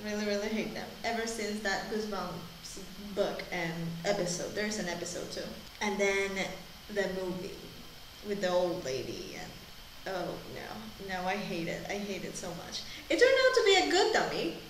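A woman's voice: mid-range voice, very animated, normal speed, roomy sound, background noise. A woman in her twenties giving blunt, sweary, casual reactions as she watches a show, repeating herself for emphasis. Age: 20s. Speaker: reaction video host. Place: indoor room, plain wall. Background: show dialogue playing.

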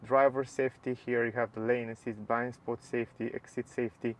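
A man's voice: mid-range voice, a little fast, a little hiss or hum. Man casually explaining a car's dashboard. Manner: non-native English phrasing, relaxed and explanatory. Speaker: car reviewer. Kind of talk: casual walkthrough narration. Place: inside a parked car.

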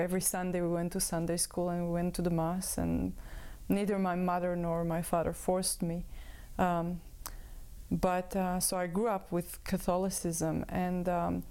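A woman in her thirties from Croatia, a native Croatian speaker, giving her personal testimony as a guest with many pauses and fillers. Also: lowish voice, completely flat delivery, mellow, clean tone. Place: room with bookshelves. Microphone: lapel mic.

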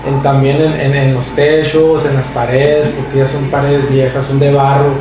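Man in his thirties, hesitant, many fillers, flat delivery, distant echoey office recording. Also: mid-range voice, normal speed. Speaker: shelter administrator. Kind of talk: casual interview explanation. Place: small office with a computer, indoors. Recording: distant, echoey and dull camera audio with background noise.

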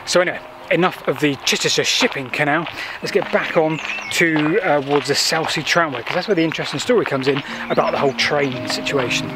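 A man's voice: fairly high pitch, a little fast, loud music behind the voice, rough recording. A man, explaining local history in a chatty way while walking outdoors with a handheld camera. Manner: chatty, explanatory, with thinking-aloud fillers. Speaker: history vlogger. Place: outdoors by a canal path and beach. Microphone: handheld selfie camera at arm's length. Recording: faint outdoor noise.

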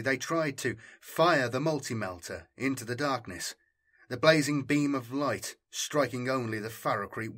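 A man's voice: medium pitch, a bit bright. A man reading military science fiction as an audiobook narrator, in a scripted, dramatic storytelling style.

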